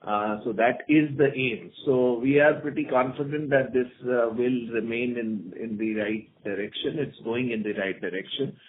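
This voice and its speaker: A man with an Indian accent, a senior executive answering on an earnings call in a halting way, repeating words. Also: medium pitch. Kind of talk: answering analyst questions on an earnings conference call. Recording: phone-like conference line with faint noise.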